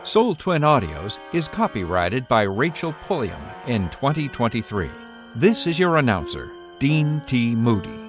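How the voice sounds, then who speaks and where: A man reading scripted closing credits as a radio announcer, in a formal, measured old-time delivery. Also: mid-range voice, big pitch swings, over music.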